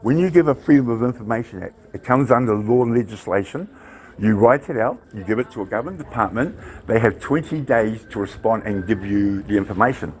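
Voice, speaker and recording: A New man arguing his case loudly and insistently with police in a government office. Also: lowish voice, expressive, brisk, poor audio. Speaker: member of the public confronting police. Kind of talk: heated argument. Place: government office lobby, indoors.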